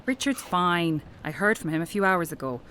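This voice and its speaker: A woman's voice: on the low side, sing-song, moderate pace, fairly bright tone, a little hiss or hum. A woman playing a brusque, defensive archaeology professor in an audio drama, speaking formally and dismissively. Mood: brisk, businesslike. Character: renowned female archaeology professor, brusque, defensive, obsessed with her find.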